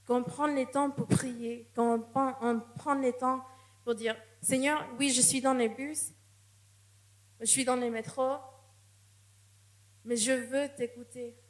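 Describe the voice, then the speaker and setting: A woman preaches in a church, and her voice sits a little high. She speaks in an earnest, personal tone and often restarts a phrase before going on. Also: steady tone, slow pace, pauses often. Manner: earnest, testimonial, with frequent restarts. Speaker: guest preacher. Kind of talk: sermon.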